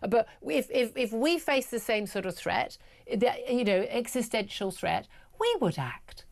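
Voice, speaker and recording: A woman's voice: a little high, animated, measured pace, vocal fry, darkish tone. A British woman hosting a live political talk show, challenging her guest with pointed questions. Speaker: radio talk show host.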